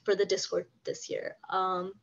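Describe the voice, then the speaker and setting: Female moderator, medium pitch, halting informal delivery, dull lo-fi sound. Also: completely flat delivery, a bit bright. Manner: hesitant, halting. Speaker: moderator introducing themself. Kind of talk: informal self-introduction. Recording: dull, lo-fi call audio.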